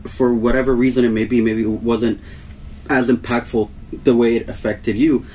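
A man's voice: mid-range voice, flat delivery, moderate pace, warm tone, slight background noise. A man co-host making a loose, rambling point in a podcast chat, packed with "like". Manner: rambling, with many "like"s. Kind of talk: casual podcast conversation. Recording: voice caught from a distance.

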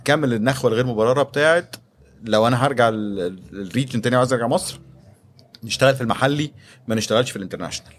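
Very fast-talking Egyptian man. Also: medium pitch. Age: 30s. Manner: mixes English business terms into his speech. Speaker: podcast guest, startup executive. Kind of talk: conversational interview storytelling.

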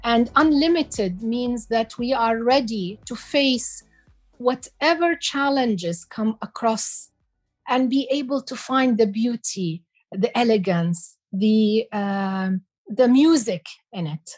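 A woman in her sixties from Iraq, giving slow, measured answers as an interview guest. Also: on the high side, a little mellow, faint music behind. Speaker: guest, heritage expert.

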